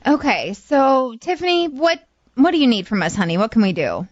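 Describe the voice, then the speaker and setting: A woman's voice: high voice, sing-song, normal speed, no echo, dull audio. An American woman hosting a radio phone-in, speaking casually to a caller. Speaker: radio host.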